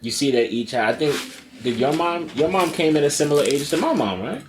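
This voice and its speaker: A man's voice: fairly high pitch, big pitch swings, fairly quick, background noise. A young man hosting a podcast, asking his grandmother questions in a reflective, hesitant way. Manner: reflective, hesitant, many restarts and backchannels. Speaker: podcast host. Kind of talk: casual interview with his grandmother.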